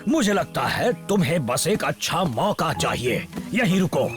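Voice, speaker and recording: A man's voice: fairly high pitch, big pitch swings, a little slow. A man voicing a worried, caring animal mentor in a Hindi children's cartoon. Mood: concerned. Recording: music under the voice.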